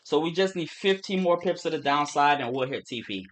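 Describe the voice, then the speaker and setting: Fast-talking Caribbean-accented man rambling. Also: on the high side, expressive, fairly bright tone. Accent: Turks and Caicos Creole-English mix. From Turks and Caicos. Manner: fast rambling speech with restarts and swearing. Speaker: trading livestream host. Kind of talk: casual livestream talk.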